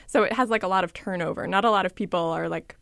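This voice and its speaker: A woman from a suburb of Washington, D.C., working as a reporter, telling stories in an animated, lively way. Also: fairly low pitch, fast talker, vocal fry, dry recording, studio quality. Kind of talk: casual podcast chat.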